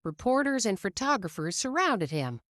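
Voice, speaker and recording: A woman reading a children's picture book aloud in a slow, expressive storytelling manner. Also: mid-range voice, dry recording, studio quality.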